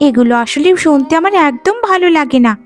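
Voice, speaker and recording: A woman's voice: high-pitched, lively intonation, fast, soft background music. A woman voicing a spoiled, spiteful young village sister in a cartoon, with a whiny, haughty, scornful manner. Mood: resentful, scornful. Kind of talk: animated folk-tale cartoon voice acting.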